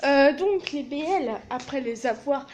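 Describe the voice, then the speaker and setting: Teen girl vlogger, high voice, brash and foul-mouthed, phone-recorded in a small office with light hiss. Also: animated, measured pace, a little mellow. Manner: crude, joking, swears a lot. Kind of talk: casual vlog.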